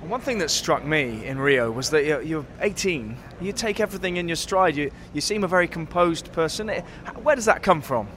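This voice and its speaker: A man's voice: on the high side, animated, brisk, hardly any pauses, a bit bright. A man interviewing a young tennis player outdoors, asking friendly, conversational questions with small restarts.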